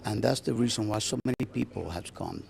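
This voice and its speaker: A man giving an unscripted news interview, with halting phrasing. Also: fairly low pitch, vocal fry. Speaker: interviewee, friend of the deceased. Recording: crowd chatter behind.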